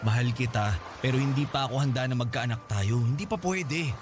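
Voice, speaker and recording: An actor playing a dismissive, evasive young boyfriend in a radio drama. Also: male voice, medium pitch, a little fast, noisy background, lightly acted. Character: young boyfriend refusing to take responsibility.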